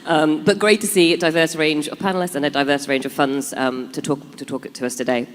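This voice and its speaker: A woman's voice: sits low, steady tone, brisk. A woman hosting a live panel in an informal, conversational style as moderator. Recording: echoey conference hall.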